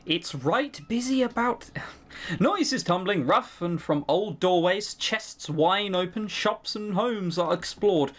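A man's voice: high-pitched, animated, takes it slowly, a bit bright. A man giving let's-play commentary, reading game text aloud and breaking off for dry, sweary, critical asides.

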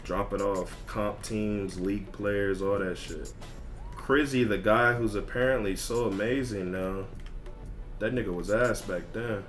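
A man's voice: lowish voice, fairly even intonation, unhurried, fairly bright tone. A man chatting casually on a livestream as a video game streamer, ranting in profane, repetitive slang. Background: music.

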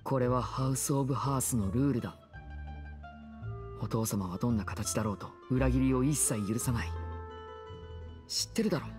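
A man's voice: medium pitch, natural voice. Composed, probing young man, acted by a man. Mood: stern. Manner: measured, serious, questioning. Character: composed, probing teenage older brother, a young magician. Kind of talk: Japanese anime-style game voice acting. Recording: studio voice over game music. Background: background music.

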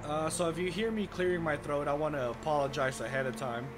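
A man's voice: on the high side, a little fast, noisy background. Young man with a sore throat. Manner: awed, chatty, easily amazed. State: sore throat, voice partly gone. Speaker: gaming YouTuber. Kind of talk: casual let's play commentary. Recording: gameplay music underneath. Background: calm game music.

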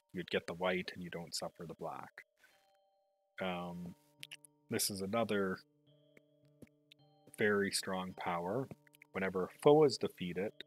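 Male game developer, deep register, unscripted playthrough commentary, thinks aloud haltingly, light music underneath. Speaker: game developer playing own game. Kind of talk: casual gameplay commentary. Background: faint music.

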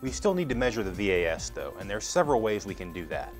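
A man's voice: on the low side, very animated, fast, faint music behind. A man in his thirties giving scripted, methodical step-by-step instructions as a product demonstrator. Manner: methodical, explanatory.

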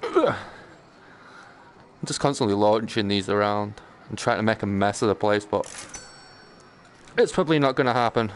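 A man's voice: fairly low pitch, normal speed, pauses often. A man chatting casually on a live game stream, joking in a slangy, sweary way. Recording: clear background noise.

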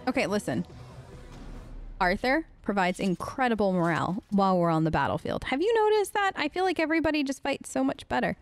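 A woman's voice: mid-range voice, sing-song, a little fast, soft background music. A woman doing playful, chatty role-play commentary over a game, talking to the characters as she plays. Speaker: gamer playing in character.

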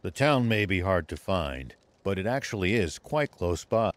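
A man playing a steady, gruff old war veteran in a video game. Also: sits low, moderate pace, no echo. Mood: grave, reflective. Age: older.

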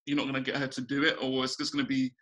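A man in his thirties from Ghana, a small business owner, chatting relaxed over a video call from a small room at home, laughing often and restarting his sentences. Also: mid-range voice, racing. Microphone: video call webcam.

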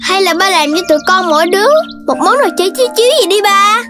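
Young cartoon pup: piping childlike voice, excitable and curious, music playing behind. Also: very high voice, fast. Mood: excited. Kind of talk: animated children's cartoon dubbing.

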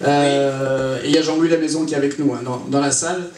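A man hosting a question-and-answer session on a cinema stage, with a halting, hesitant delivery. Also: a little high, fairly even intonation, a crowd in the background. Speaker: festival presenter and interviewer. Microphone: handheld mic through PA, far camera. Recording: echoey cinema, distant camera mic, faint noise.

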